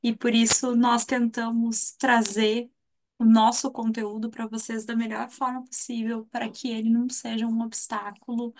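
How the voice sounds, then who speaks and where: Lively Brazilian woman hosting a class. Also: fairly high pitch, gravelly. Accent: Brazilian Portuguese. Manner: warm-hearted, playful, acts out quotes. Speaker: course teacher and host. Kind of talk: live online class chat.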